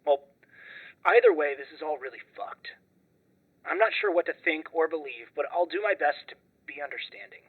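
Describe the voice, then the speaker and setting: A man's voice: on the high side, wildly swooping pitch, normal speed, husky, exaggerated voice. An actor playing a frustrated, distrustful young man, blunt and sweary, in a conversational audio drama over a dull phone-call line. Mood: subdued, conciliatory.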